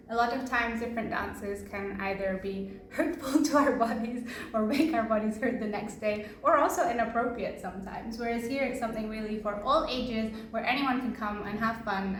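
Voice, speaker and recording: A woman's voice: fairly high pitch, reverberant. A woman from Peru, a club member, speaking in a documentary interview and laughing easily.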